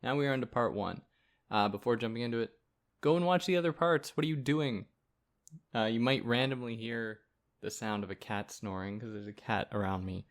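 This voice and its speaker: A man with a soothing voice, hosting a podcast and explaining a philosophy text in a relaxed, conversational way. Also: mid-range voice, fairly quick. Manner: relaxed, conversational, with fillers and asides. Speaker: podcast host. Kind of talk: casual explanatory philosophy lecture.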